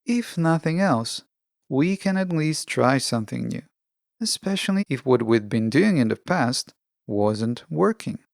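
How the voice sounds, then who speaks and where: A podcast host delivering a scripted self-help monologue in a measured, instructional way with deliberate phrasing. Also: medium pitch, animated, gravelly, no room sound, clean studio sound.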